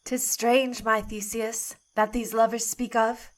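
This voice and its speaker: A woman plays a noble bride in a Shakespearean audio drama. She is composed and thoughtful, speaking slowly in a lightly high voice. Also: little pitch movement. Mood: thoughtful, wondering. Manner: measured, thoughtful. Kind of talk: Shakespearean audio play acting.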